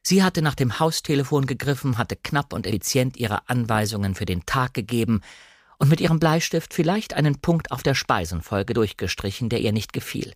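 A man's voice: mid-range voice, expressive, moderate pace, no room sound, clean studio sound. A man narrating a novel as a scripted literary audiobook reading.

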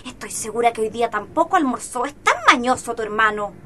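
A woman's voice: on the high side, lively intonation, quick pace, pushed and bright, slight background noise. A woman playing a fussy, affectionate, nagging Chilean mother in an educational radio drama. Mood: caring, busy. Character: fussy, caring mother of two boys.

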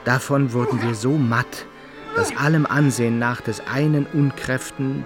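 A male adventurer played by an actor recounts his exploits for a radio drama. His storytelling is measured and literary, at a medium pitch, with music prominent underneath. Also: lightly acted. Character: adventurer recounting his brawls and travels, Simplicissimus as narrator. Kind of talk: literary radio drama narration in old-fashioned German.

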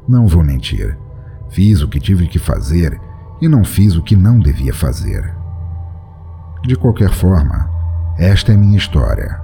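A very deep, dark and mellow male voice reads a horror tale with dramatic flair, his pitch rising and falling widely. He hosts an audio drama podcast. Soft music plays underneath. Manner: dramatic, expressive reading. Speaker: podcast host and narrator. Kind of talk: scripted horror narration.

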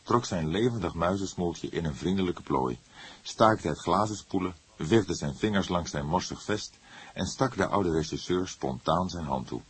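Deep, husky male audiobook narrator. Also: no room sound. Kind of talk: scripted literary narration.

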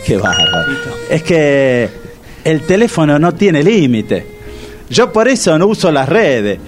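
A man's voice: on the high side, sing-song, slow pace, faint music behind. An actor playing a naive, rambling man sweating in his heavy overcoat, with an Argentine Rioplatense accent.

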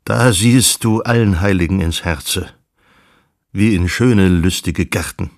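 An actor playing a grave, contemplative man who recites psalms and old texts in a measured, solemn manner for a radio play. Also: low voice, no echo, studio quality. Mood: reverent.

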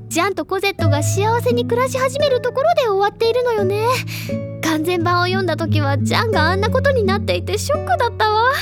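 A woman playing an eager, book-loving high-school girl in an anime-style drama CD, speaking politely and dreamily. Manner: bright, excitable and wistful, polite feminine speech. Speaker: book-loving older student.

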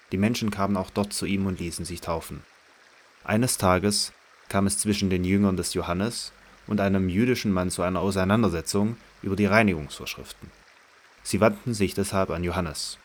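A man narrating scripture from a script as the storyteller of an audio play. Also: deep voice.

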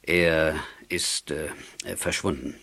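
A man's voice: very deep voice, little pitch movement, slow pace, pushed and bright, full character voice. A man in a German youth audio drama playing a cautious, troubled adult who speaks in a grave, hesitant, confiding way.

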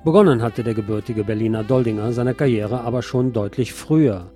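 A man narrating a scripted obituary tribute as a radio host, in a measured, formal reading manner. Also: medium pitch, mellow, faint music behind.

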